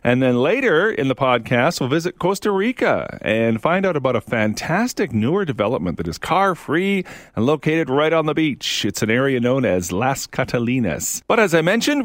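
A man's voice: mid-range voice, no echo, studio quality. A man hosting a travel podcast, introducing a guest and asking questions in an upbeat, conversational way. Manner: upbeat, conversational, with some fillers. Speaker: podcast host.

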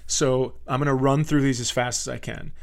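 A man's voice: mid-range voice, fairly even intonation, normal speed, creaky. Casual, joking American man hosting a podcast. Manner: joking, rambling, casually profane. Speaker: comedian and podcast host.

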